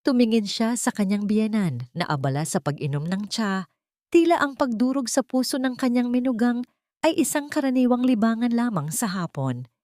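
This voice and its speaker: A woman's voice: a little high, animated, normal speed, a little mellow, dry recording, studio quality. A woman with a Filipino accent narrating a dramatic scripted story.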